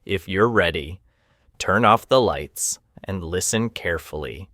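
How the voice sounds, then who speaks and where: A man narrating a documentary from a script, with a measured, ominous delivery. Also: sits low, expressive, bright, forceful tone.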